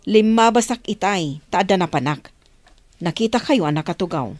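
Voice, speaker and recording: A woman's voice: lowish voice, animated, normal speed, darkish tone, no echo. A woman playing a mother talking with her grown son in a Philippine radio drama. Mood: attentive.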